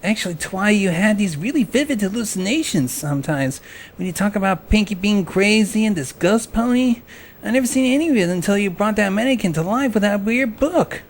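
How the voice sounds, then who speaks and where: A performer voicing an earnest young male dragon, helpful and a little exasperated, in an animated fan drama. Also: medium pitch, a little fast, slight background noise, natural voice. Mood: earnest confession. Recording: music behind the voice. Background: background music.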